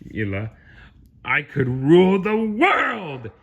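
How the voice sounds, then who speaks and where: A man voicing a small, sly, power-hungry cartoon villain with a theatrical, drawn-out delivery. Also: a little high, wildly swooping pitch, breathy edge, dull audio, voice pushed up, heavily acted. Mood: scheming, gleeful.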